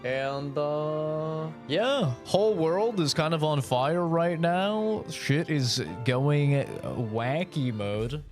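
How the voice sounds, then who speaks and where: A man's voice: a little high, slow, hardly any pauses, faint music behind. A young man chatting casually while streaming a game, rambling and swearing in a laid-back way. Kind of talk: casual live-stream chat while gaming.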